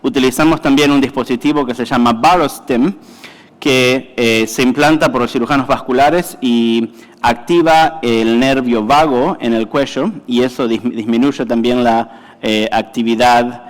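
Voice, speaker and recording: Brief: male presenter, halting delivery, echoing lecture hall. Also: medium pitch, fairly even intonation. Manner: halting, many fillers and self-corrections. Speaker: conference speaker, cardiologist. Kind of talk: medical conference talk. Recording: reverberant hall.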